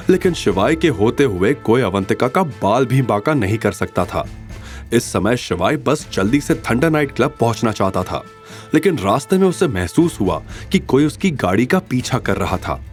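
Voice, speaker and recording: A man narrating a scripted audio drama in an expressive, suspenseful way. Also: medium pitch. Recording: music bed behind.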